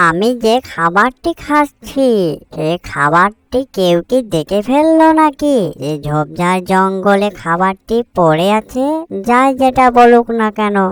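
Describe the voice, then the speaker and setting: A woman's voice: mid-range voice, smooth, close to an everyday voice. A woman voicing a poor, hungry cartoon ant, earnest and plaintive in the way it talks. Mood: hungry, pleased. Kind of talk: cartoon dialogue.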